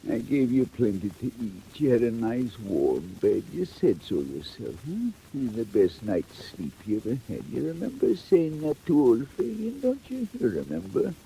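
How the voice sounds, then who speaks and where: An actor playing an old criminal, wheedling and pleading in a theatrical 1940s audio drama style. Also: male voice, medium pitch, big pitch swings, moderate pace, vocal fry, hardly any pauses, dark tone, rough recording. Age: older. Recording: hissy vintage 1940s record.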